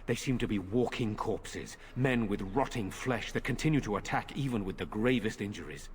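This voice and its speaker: An actor playing a worried, earnest noble younger brother in a video game. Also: male voice, fairly low pitch, lively intonation, moderate pace, slight background noise, natural voice. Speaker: game character.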